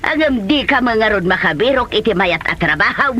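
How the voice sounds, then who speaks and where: A man's voice: high voice, animated, normal speed, bright, forceful tone, a little hiss or hum. A man playing a nagging, disappointed mother in a comedy drama, with an Ilocano accent and a scolding, complaining delivery. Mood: scolding, exasperated.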